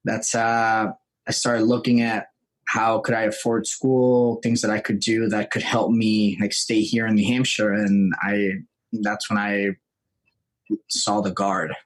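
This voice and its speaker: Low-voiced young man chatting. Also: little pitch movement, measured pace, rough recording. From Puerto Rico. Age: young adult. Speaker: podcast guest. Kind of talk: casual chat, telling personal story.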